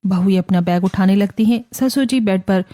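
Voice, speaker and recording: A woman's voice: mid-range voice, moderate pace, dark tone. A woman narrating a scripted family story as a storyteller.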